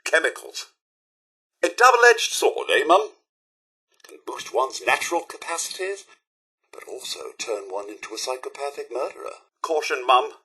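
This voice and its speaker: Loyal robot butler, British accent, prim, dryly sarcastic, lively swooping intonation, raspy edge, video game companion. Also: male voice, mid-range voice, normal speed, full character voice. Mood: wistful, uneasy. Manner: formal, polite, theatrical, dryly sarcastic. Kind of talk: scripted video game character lines.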